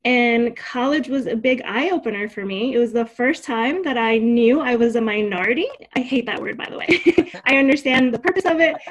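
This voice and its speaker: A woman's voice: fairly high pitch, moderate pace. A young adult woman from Cuba, raised in New Jersey, chatting as a podcast guest in an upbeat, talkative way and laughing easily. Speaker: podcast guest, career coach. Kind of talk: casual interview chat.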